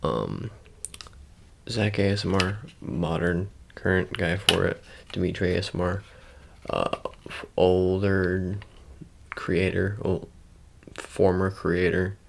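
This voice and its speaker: A man doing an ASMR roleplay, talking casually to the viewer in a halting, rambling, self-correcting way. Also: low voice, level tone, creaky, slight background noise. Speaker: ASMR creator.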